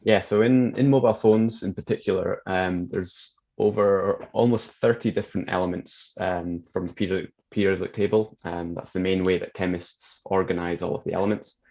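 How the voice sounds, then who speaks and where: A young man with a Scottish accent, explaining things in an interview in a measured, plain-spoken way. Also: low voice, fairly even intonation, darkish tone, dull audio. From north of Scotland. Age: young adult. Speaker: chemistry researcher being interviewed.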